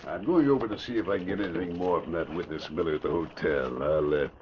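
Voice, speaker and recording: An actor playing a stern police inspector with a clipped, commanding manner, in a 1940s radio drama. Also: male voice, low voice, quick pace, crowd noise, natural voice. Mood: brisk, official. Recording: muffled, hissy vintage radio recording.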